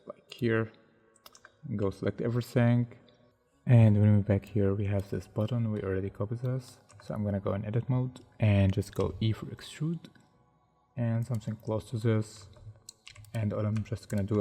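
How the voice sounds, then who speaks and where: Tutorial narrator, man, non-native English, gravelly and fairly low, halting think-aloud delivery. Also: warm tone. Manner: unhurried, thinks aloud step by step with many hesitations. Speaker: software tutorial instructor. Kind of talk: narrating a screen-recorded modeling tutorial.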